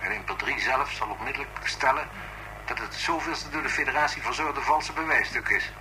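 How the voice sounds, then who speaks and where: A man acting a sober, methodical police inspector with a formal, measured manner in a Dutch radio drama. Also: mid-range voice, close to an everyday voice. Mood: skeptical, firm. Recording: noisy radio play recording.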